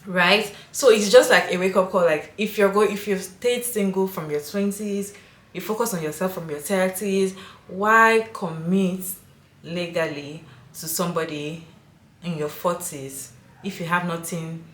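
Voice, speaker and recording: A woman host comments on a video and puts questions to her viewers in an emphatic, opinionated way. Her voice sits a little low, and her words echo around the room. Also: unhurried.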